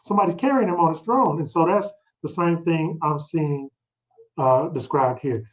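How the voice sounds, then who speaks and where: Higher-pitched man teaching scripture informally. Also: moderate pace, low-quality recording. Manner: explanatory, prompts listeners with questions and "right?". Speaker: scripture study leader. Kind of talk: informal scripture teaching with read-aloud verses.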